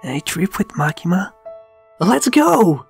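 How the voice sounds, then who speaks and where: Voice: medium pitch, very animated, takes it slowly, creaky, faint music behind, exaggerated voice. A performer voicing a brash, simple-minded teenage boy devil hunter in a comic dub, blunt and boyish. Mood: eager. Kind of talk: fan comic dub, anime acting.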